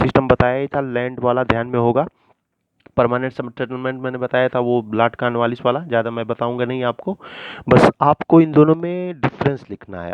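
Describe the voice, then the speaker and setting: A man's voice: medium pitch, smooth, no room sound. A man teaching a recorded school lesson in an instructional way, often checking understanding and mixing in English terms. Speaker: history teacher. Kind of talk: recorded school lesson, explaining exam questions. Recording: muffled, dull lo-fi audio.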